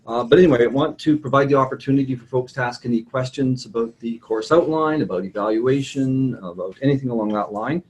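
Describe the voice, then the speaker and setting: A middle-aged man teaching informally in a classroom, speaking hesitantly with pauses and self-corrections. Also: medium pitch, husky. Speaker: university instructor. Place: classroom with online participants. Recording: faint background room noise.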